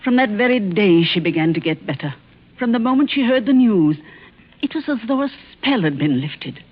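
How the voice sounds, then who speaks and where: An actress playing a dry, protective, sharp-tongued older aunt in a 1940s radio drama. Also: female voice, medium pitch, lively intonation, breathy edge. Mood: grave. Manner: dry, tart, deliberate. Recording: dull vintage radio broadcast recording.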